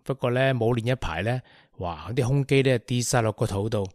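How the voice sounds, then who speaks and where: A man's voice: medium pitch, fairly quick, a little mellow, no echo, clean studio sound. A man with a Hong Kong Cantonese accent hosting a radio chat, joking and laughing easily. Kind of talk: casual chat.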